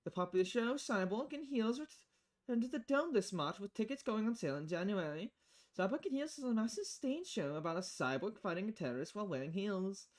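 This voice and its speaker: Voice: medium pitch, animated, brisk, pitched above the performer's own voice, close to an everyday voice. A performer voicing an upbeat news reporter reading quirky headlines aloud in a presentational announcer manner. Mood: chirpy, announcing. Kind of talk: scripted news article read aloud.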